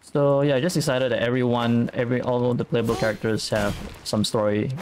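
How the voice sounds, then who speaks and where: A man's voice: mid-range voice, level tone, measured pace, a little mellow, smooth. A man giving casual playthrough commentary as a game streamer, muttering and thinking aloud. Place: indoors, streaming over game audio. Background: faint game music.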